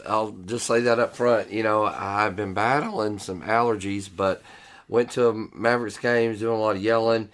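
This is a man with a low voice that comes out hoarse and worn. He co-hosts a casual show, easygoing and quick to laugh. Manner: easygoing, relaxed, laughs often. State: hoarse, losing his voice. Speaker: podcast co-host, repair expert. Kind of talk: casual chat.

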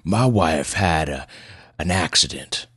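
A man voicing a gruff, halting, suspicious old mountain man in his seventies for an audio story. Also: extremely low pitch, animated, fairly bright tone, no echo, studio quality, full character voice. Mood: somber. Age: 70s. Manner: halting, folksy, rustic.

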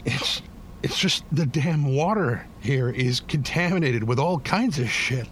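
A man playing a weary, bitter but devoted working-class widowed father in an audio drama, plain-spoken and halting. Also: medium pitch, lively intonation, fairly bright tone, slight background noise. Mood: grieving, bitter. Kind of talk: naturalistic audio drama acting.

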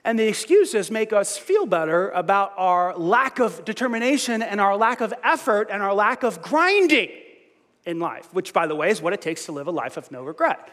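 A middle-aged man preaching a sermon as pastor, lively and self-mocking, often restarting his sentences. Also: high voice, normal speed, big-room sound.

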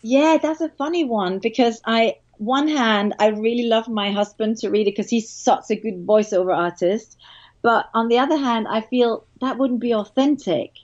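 A woman's voice: a little high, normal speed, a little mellow, muffled recording. A woman with a Danish accent, a guest on a podcast, talking casually and enthusiastically about her work. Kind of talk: casual interview chat.

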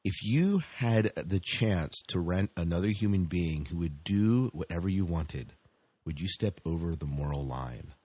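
A man's voice: deep voice, animated, unhurried, a little mellow, breathy edge, no echo. A man co-hosting a podcast, chatting casually in an enthusiastic, rambling way as a fan of sci-fi shows. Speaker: podcast co-host.

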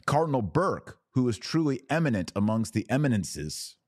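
A man hosting a podcast and giving live opinion commentary in deliberate, emphatic short phrases. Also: mid-range voice, big pitch swings, darkish tone, no room sound, clean studio sound.

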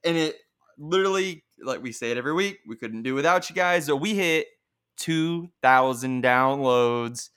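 A man's voice: medium pitch, normal speed, fairly bright tone, clear, resonant tone. A man co-hosting a podcast, chiming in with short, dry jokes and casual remarks. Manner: dry quips and short interjections. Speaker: podcast co-host. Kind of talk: casual chat.

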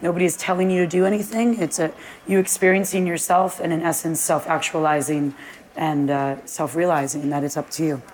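A middle-aged woman with a deep, husky voice, speaking as a guest in a live onstage conversation. She talks in long, flowing runs and laughs often. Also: steady tone, fairly quick, darkish tone, a little hiss or hum, poor audio. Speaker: guest, fitness class founder. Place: event space before a live audience.

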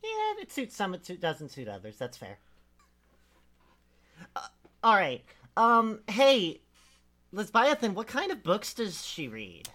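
A woman's voice: mid-range voice, huge pitch swings, slow, long pauses, a bit bright. A woman hosting a casual chat, teasing and asking the others questions with giggles. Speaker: host leading the segment.

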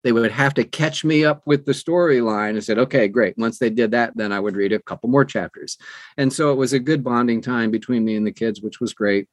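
Animated older man telling personal stories. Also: medium pitch, brisk, dry recording. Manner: animated storyteller who acts out quoted dialogue. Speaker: guest, audiobook narrator. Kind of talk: conversational personal storytelling.

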